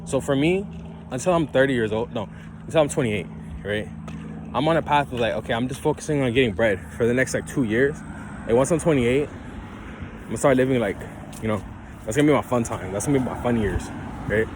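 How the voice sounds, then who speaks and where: A man's voice: medium pitch, fairly quick, long pauses, background noise. A man in his twenties talking off the cuff in a loose, rambling monologue, chuckling often and checking in with the listener. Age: 20s. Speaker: solo talker.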